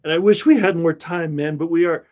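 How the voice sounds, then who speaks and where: A man's voice: on the high side, brisk, a little mellow. A man hosting an interview podcast, upbeat and chummy, often calling his guest "man.". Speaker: podcast host.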